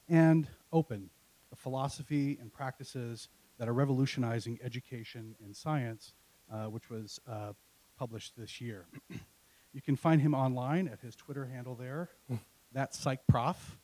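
A middle-aged man hosting an academic event, reading an introduction from notes into a handheld mic with hesitant pauses. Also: medium pitch, warm tone, rough recording. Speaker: event host, university Spanish professor. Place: university classroom. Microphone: handheld microphone.

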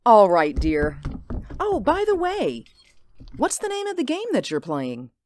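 Animated, indulgent radio-play mother. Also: on the high side, measured pace, slight background noise. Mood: amused, indulgent. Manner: motherly, gently chiding, humoring. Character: amused, indulgent mother humoring her young daughter. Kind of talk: radio drama acting.

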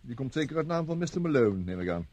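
An actor in a Dutch radio play as an evasive villa owner, polite but hesitant and blustering. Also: male voice, medium pitch, big pitch swings, quick pace, darkish tone, highs cut off. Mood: courteous, eager. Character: smooth-talking villa owner, evasive, indignant when challenged.

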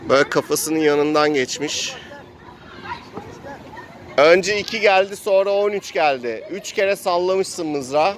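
A man's voice: a little high, slow pace, pauses often, a faint crowd. A man acting as game master, narrating an improvised role-playing adventure in a dramatic play-by-play storytelling manner. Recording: faint street noise and background voices.

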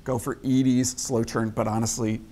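A man's voice: medium pitch, flat delivery, normal speed, warm tone. A middle-aged man with an American accent, explaining conversationally as a tasting expert on a cooking show. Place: studio kitchen set with audience.

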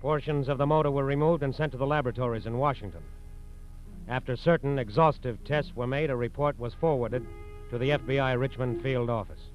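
A man narrating a scripted 1940s radio crime drama in a formal, measured, grave manner. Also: mid-range voice, steady tone, soft background music. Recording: dull, vintage 1940s radio recording.

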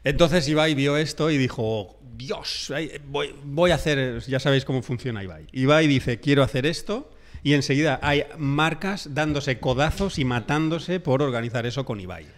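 A man's voice: mid-range voice, normal speed. A man with an accent from Spain talking casually as a podcast co-host, on a close microphone in a room. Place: room at a table, indoors. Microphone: close podcast microphone with headphones.

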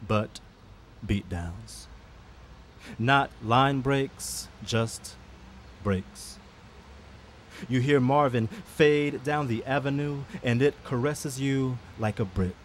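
A man performs a poem at a live outdoor reading. His mid-range voice moves very slowly, each phrase weighted and set apart by dramatic pauses. Faint ambient noise sits behind him. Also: mid-range voice. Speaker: poet. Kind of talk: poetry reading. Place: outdoor park reading event.

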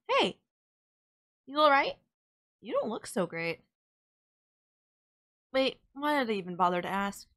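A woman's voice: mid-range voice, a bit bright. A woman playing a sardonic villain nemesis in an audio roleplay, scolding and teasing the listener in an exasperated but caring way. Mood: worried, then exasperated concern.

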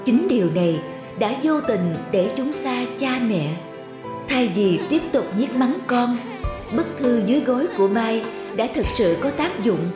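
A woman's voice: fairly high pitch, lively intonation, measured pace, reverberant, over music. A woman reading scripted narration for a moral story.

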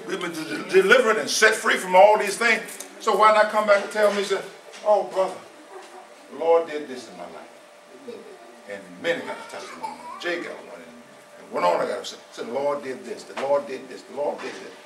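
A man's voice: sits high, noisy background. A man of seventy preaching an informal sermon, repeating his points with emphasis in a conversational way. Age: 70s. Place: small church hall. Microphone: far camera. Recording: echoey room from a far camera.

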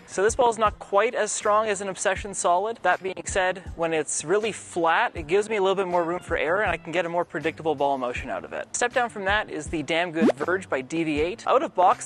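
A young man with a high, light voice talks fast and methodically. He walks through his gear step by step on a lapel mic inside an indoor bowling alley. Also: a bit bright. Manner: quick, methodical, explanatory. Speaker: pro shop bowler presenting his arsenal. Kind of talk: scripted equipment walkthrough.

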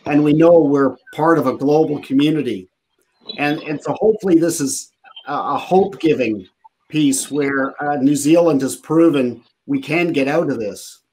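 A man's voice: medium pitch, a little mellow, rough recording. Earnest man on a video call. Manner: earnest, with frequent um and repeated and. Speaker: guest speaker. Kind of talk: conversational webinar talk.

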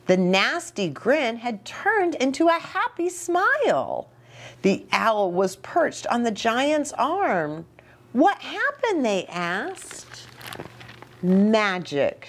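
A middle-aged American woman reading a story aloud to children, expressive and pausing to ask them questions. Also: on the low side. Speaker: children's story time host and pastor. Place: small indoor reading nook with bookshelf and curtains.

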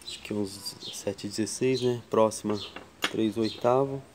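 A man from Brazil hosting a casual tool vlog at his workshop bench, slowly counting wrench sizes and chatting to viewers. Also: fairly low pitch, steady tone, noisy background. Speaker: tool channel host. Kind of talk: casual show-and-tell vlog.

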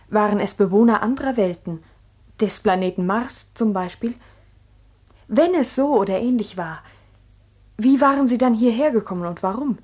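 An actress playing an earnest, ambitious village schoolteacher, narrating reflectively and deliberately in a 1960s radio drama. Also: female voice, on the high side, a little mellow, breathy edge.

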